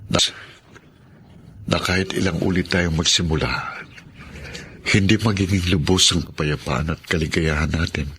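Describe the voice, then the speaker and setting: A devout, consoling husband in a radio drama. His very deep voice is heavily husky, and he speaks solemnly in measured phrases. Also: steady tone, bright, forceful tone, heavily acted. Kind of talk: Filipino radio drama acting.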